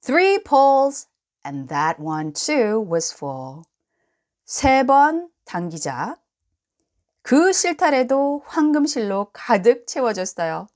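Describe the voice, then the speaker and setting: Slow, animated woman reading a children's story. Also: fairly high pitch. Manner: expressive, deliberate storytelling. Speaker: storybook reader. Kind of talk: bilingual children's story read-aloud.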